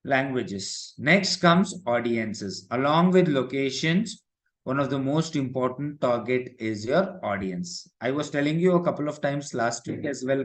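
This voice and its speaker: A man with an Indian English accent explains software step by step as an instructor in a live online lesson, often adding check-in tags. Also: medium pitch. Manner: repetitive check-in tags like "right" and "okay". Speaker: digital marketing instructor.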